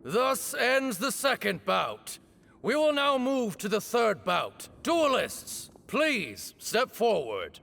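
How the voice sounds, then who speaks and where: A man's voice: very high voice, sing-song, takes it slowly, creaky, sharp tone, a little hiss or hum, heavily acted. A man playing a stern, formal duel arbiter in a video game, speaking in a ceremonial, commanding manner.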